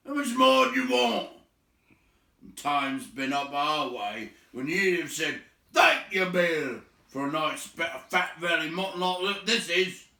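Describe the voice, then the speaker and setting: Loud, high-pitched grumpy troll. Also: male voice, vocal fry, echoey room, full character voice. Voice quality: forceful, bellowing, drawn-out. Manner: coarse rustic slang. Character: big male troll William, crude, grumpy, suspicious bully.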